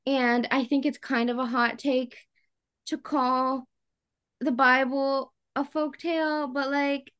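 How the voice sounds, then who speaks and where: A woman with a fairly high voice talks as a podcast co-host. Her delivery is chatty and informal, full of casual slang, in the loose style of a book discussion between co-hosts. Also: little pitch movement, slow, fairly bright tone, clear, resonant tone.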